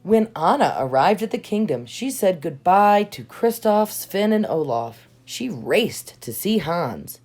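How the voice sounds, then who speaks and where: A woman reading a children's storybook aloud in expressive narration, with dramatic emphasis on key words. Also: lowish voice, unhurried, hardly any pauses. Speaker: storybook reader. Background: soft music.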